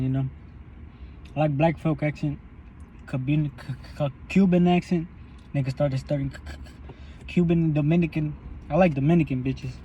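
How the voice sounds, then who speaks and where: Rambling young man, higher-pitched voice. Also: dark tone, muffled recording, a little hiss or hum. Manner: loose, rambling and profane while chewing. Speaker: vlogger. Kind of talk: casual eating vlog monologue. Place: in a parked car at a drive-in.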